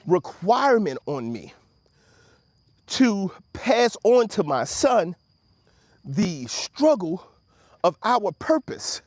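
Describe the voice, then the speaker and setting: A man's voice: high-pitched, no echo. An American man in his fifties giving a motivational monologue, emphatic and preacherly, with repeated phrases and rhetorical questions. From Washington, D.C., United States. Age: 50s. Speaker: host of a motivational talk show.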